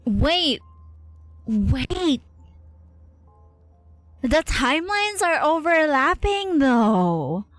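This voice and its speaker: Expressive woman streamer, swinging pitch, thinking aloud. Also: extremely slow, pauses often, dry recording. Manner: informal, exclamatory, swears freely, thinks out loud. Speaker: streamer reacting to a role-playing game. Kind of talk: casual live game commentary. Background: game music behind the voice.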